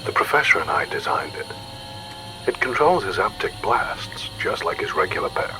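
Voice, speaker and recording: A brainy young student, acted by a man in an audio drama, with a very deep, animated voice. He explains things precisely and articulately over clear background noise. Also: moderate pace. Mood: intrigued.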